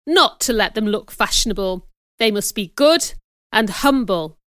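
A female narrator plays a domineering, pious schoolmaster in a storybook. He scolds and commands with angry emphasis, in a smooth, measured voice recorded dry and close. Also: medium pitch, lively intonation, fairly bright tone, no echo. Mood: commanding. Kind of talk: audiobook character voice.

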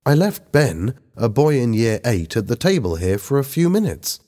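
A man's voice: medium pitch, very animated, moderate pace, warm tone, voice pushed up, close to an everyday voice. A man voicing an angry, defensive teenage schoolgirl in a story, indignant and exclaiming.

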